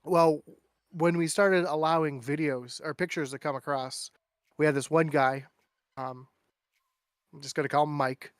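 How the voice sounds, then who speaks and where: A man's voice: fairly high pitch, fairly even intonation, moderate pace, pauses often, no echo, studio quality, faint music behind. A man chatting as a guest on a casual podcast, telling stories and mimicking people in raised voices.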